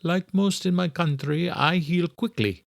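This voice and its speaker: A guarded woman played by a male narrator in an audio thriller. The voice is lifted high, formal and clipped, with a dry, close sound. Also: a little slow, creaky, no echo. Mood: proud. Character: guarded Polish woman, a doctor's formal assistant.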